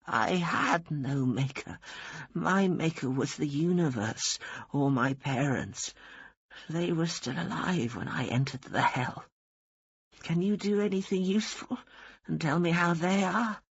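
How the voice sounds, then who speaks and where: Guy doing a crabby old dying nun, higher than his own voice, husky, swears at everything. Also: a little slow, no room sound, lo-fi sound. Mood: scornful. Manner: blunt, scornful, swearing. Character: dying old woman, cantankerous atheist nun superior.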